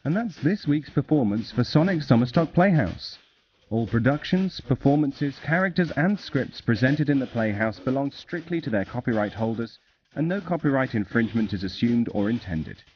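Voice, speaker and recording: A man's voice: mid-range voice, expressive, moderate pace. A man reading a scripted sign-off as a podcast announcer. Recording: slightly dull with faint noise.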